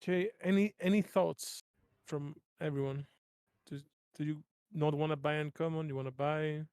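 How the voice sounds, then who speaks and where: A man's voice: fairly high pitch, steady tone, normal speed, a little mellow, clean tone, no echo, clean studio sound. A man chatting casually as he plays a tabletop roleplaying game.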